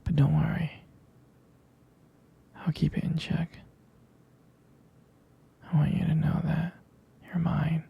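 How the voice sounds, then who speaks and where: A man playing a tender, protective boyfriend in an ASMR roleplay, murmuring hesitantly and intimately in a breathy, husky whisper. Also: fairly high pitch, monotone, slow pace, long pauses, highs cut off, exaggerated voice. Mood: tender jealousy. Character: jealous, protective, tender boyfriend consoling his partner.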